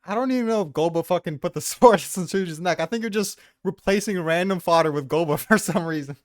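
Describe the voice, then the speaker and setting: A man's voice: high voice, fast talker, dry recording, studio quality. A man defending his opinions in a casual debate, informal and prone to swearing. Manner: informal, profane. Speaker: tier list maker defending placements. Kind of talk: casual debate about manga character rankings.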